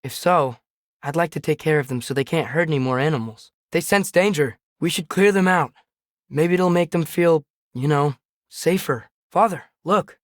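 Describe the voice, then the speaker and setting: A man's voice: a little high, no echo, clean studio sound, close to an everyday voice. A young male actor playing an earnest, sensitive American teenage boy adventurer in a video game, speaking with a questioning, slightly hesitant manner. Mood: concerned. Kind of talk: video game character dialogue.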